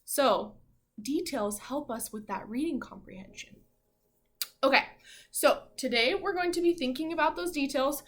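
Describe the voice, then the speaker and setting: A woman teacher leading an interactive read-aloud for young children, asking questions and reading with animated emphasis. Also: high-pitched. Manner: asks questions and pauses as if hearing answers. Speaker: elementary school teacher.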